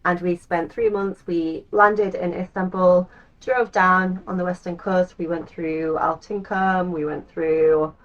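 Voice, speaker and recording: An English woman in her thirties talks straight to camera as the host of her own channel. Her voice sits a little low, and she chats in a loose, rambling way, often pausing on an um. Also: fairly even intonation, highs cut off. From England. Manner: chatty, rambling with frequent ums. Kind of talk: casual talk to camera.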